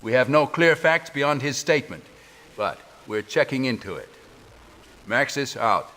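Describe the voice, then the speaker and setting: A middle-aged man playing an authoritative resistance commander giving a formal, deliberate briefing in a video game. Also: mid-range voice, expressive, bright, forceful tone, a little hiss or hum. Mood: grave, formal.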